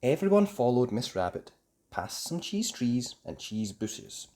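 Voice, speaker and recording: Low-voiced woman storyteller, very animated. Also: measured pace. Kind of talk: children's story read aloud, expressive narration.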